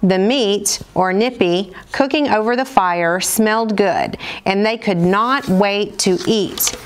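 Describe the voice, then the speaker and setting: Middle-aged American woman reading a storybook aloud. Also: medium pitch. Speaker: storyteller, school program director. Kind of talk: read-aloud storybook narration for children. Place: indoors, seated at table before dark curtain.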